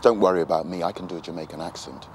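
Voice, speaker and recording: A man plays a confident police detective in a TV crime drama. His voice is low and his pace quick, polite but self-assured, with clear street noise behind him. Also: steady tone, darkish tone, lightly acted. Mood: cool, confident. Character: polite, confident male police officer, new to drugs squad. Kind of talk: naturalistic TV crime drama acting.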